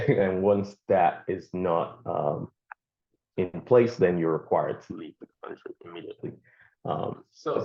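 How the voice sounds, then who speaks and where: A man with a Nigerian accent, a guest answering interview questions in a halting way, with many fillers and repeated words. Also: sits low. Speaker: guest speaker. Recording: dull lo-fi sound.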